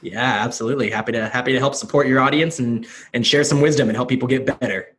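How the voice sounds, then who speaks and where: A man in his thirties from the United States, a podcast guest telling his life story in a casual, energetic, self-deprecating way, swearing freely. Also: mid-range voice, fast, slight background noise. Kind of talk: casual chat.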